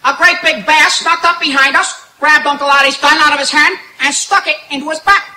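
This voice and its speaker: Guy doing goofy loud radio comedy sidekick, really sharp high voice, echoey live stage. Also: fairly even intonation, a little fast, full character voice. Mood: cocky, joking. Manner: loud, exclaiming, punchline delivery. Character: bumbling, wisecracking childish comedy sidekick man. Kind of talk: old-time radio comedy double act. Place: outdoor night stage in woods, far camera. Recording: echoey outdoor stage with background noise.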